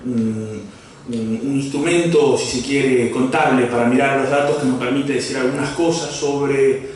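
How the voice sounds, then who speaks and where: A man who grew up in Brazil giving an economics lecture, halting with fillers and restarts, mixing in asides and jokes. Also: mid-range voice. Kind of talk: academic talk. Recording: echoey hall from far off, faint noise.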